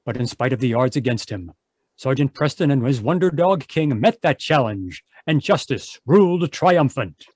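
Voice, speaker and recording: A man's voice: mid-range voice, lively intonation, moderate pace, darkish tone, dry recording. A man narrating an old-time radio adventure as its announcer, reading scripted lines.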